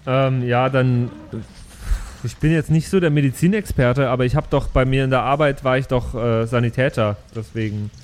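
A man's voice: mid-range voice, moderate pace, a little mellow. Casual man playing a tabletop game. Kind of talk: casual improvised role-play chat.

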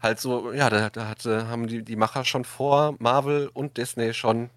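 A man's voice: mid-range voice, level tone, moderate pace, fairly bright tone, no room sound. Male podcast host, mid-range voice, casual interview chat, very dry close sound.

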